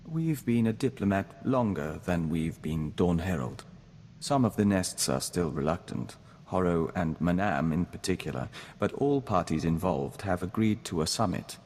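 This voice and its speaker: An actor playing a serene, courteous hive-bonded diplomat in a video game, speaking in a measured, formal way. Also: male voice, low-pitched, expressive, a little mellow, big-room sound. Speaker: companion character in a video game. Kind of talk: scripted game dialogue.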